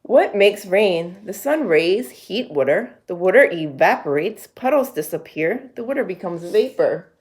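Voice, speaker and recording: A woman reading picture books aloud to a young child as a storyteller, pausing to explain things simply. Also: fairly low pitch, animated, a little mellow. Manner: simple explanations to a child between read passages.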